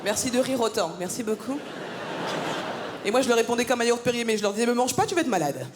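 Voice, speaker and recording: High voiced woman doing stand-up, teasing the crowd, big hall echo. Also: expressive, quick pace, background noise. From France. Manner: punchy, teasing crowd banter. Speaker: stand-up comedian. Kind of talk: stand-up comedy set. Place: large theatre stage. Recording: live gala, long hall reverb.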